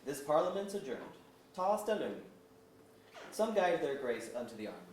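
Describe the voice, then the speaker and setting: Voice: mid-range voice, sing-song, brisk, darkish tone, natural voice. A performer playing a stern, vengeful elf leader, declaiming formal archaic verse at a staged reading. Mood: formal, brisk. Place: museum auditorium. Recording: echoey auditorium, far off, faint noise.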